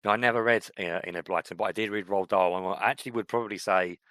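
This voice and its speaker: Man, podcast co-host, low voice, bright forceful tone, dry close mic, rambling with fillers. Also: fairly even intonation, quick pace, no room sound. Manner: reflective, rambling with many fillers and restarts. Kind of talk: casual chat.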